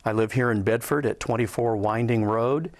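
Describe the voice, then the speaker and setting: An American man in his fifties from Southern California, recalling his life conversationally in an interview, hesitant and measured with frequent pauses. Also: medium pitch. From Southern California, USA. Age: 50s. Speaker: interview guest, Air Force veteran. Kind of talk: conversational personal recollection.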